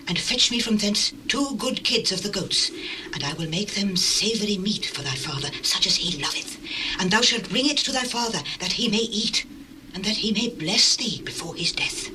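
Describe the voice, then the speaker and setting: An actress playing a scheming, commanding mother in a dramatized scripture reading, hushed and urgent in a formal style. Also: mid-range voice, lightly acted. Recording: distant, noisy old recording.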